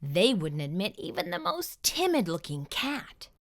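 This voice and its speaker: A woman hosting a children's storytime, reading a picture book aloud in an expressive narrating style. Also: on the low side, a little slow. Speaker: children's storytime host and reader.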